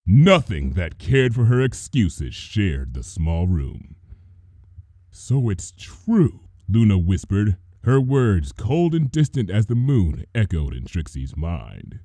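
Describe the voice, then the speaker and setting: A man reading fan fiction aloud as expressive, dramatic story narration. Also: deep voice, slow pace, hardly any pauses, dry recording, clean studio sound.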